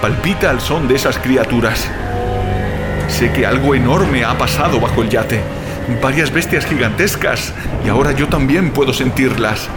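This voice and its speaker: An actor playing a shaken but defiant survivor of sea monsters in an intense, dramatic horror audio drama. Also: male voice, medium pitch, moderate pace, lightly acted. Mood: dread, resolve. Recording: loud music over the voice.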